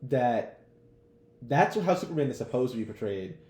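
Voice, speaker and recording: A man's voice: mid-range voice, animated, normal speed, dark tone. A young American man co-hosting a podcast in casual, slangy chat, rambling and peppering his talk with "like" and "bro.". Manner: rambling, heavy use of "like" and "bro". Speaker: podcast co-host. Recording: roomy echo, clear background noise.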